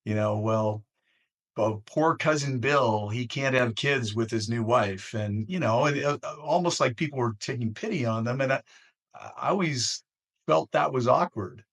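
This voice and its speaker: A man hosting an interview, asking questions conversationally in short, thoughtful, measured phrases. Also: mid-range voice, a bit bright. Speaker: interviewer, host.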